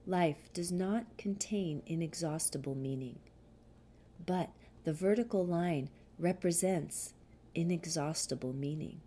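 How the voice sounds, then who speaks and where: A woman reading a spiritual commentary as an audiobook, in a measured, deliberate manner with frequent pauses. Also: sits low, dark tone, slight background noise.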